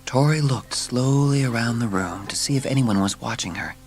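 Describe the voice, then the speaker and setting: A man's voice: medium pitch, moderate pace. A man narrating a scripted children's story as an audiobook narrator.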